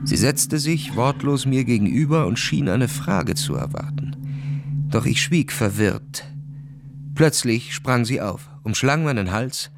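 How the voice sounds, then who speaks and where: An actor playing an officer who recounts his adventure in measured, dramatic literary radio narration. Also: mid-range voice, animated, vocal fry. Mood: reflective.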